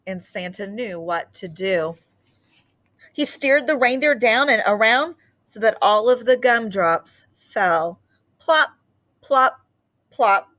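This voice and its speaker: A woman storyteller reads a picture book to children. Her delivery is animated and expressive, lingering on important words, at a middling pitch. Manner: animated, drawn-out emphasis on key words. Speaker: read-aloud storyteller.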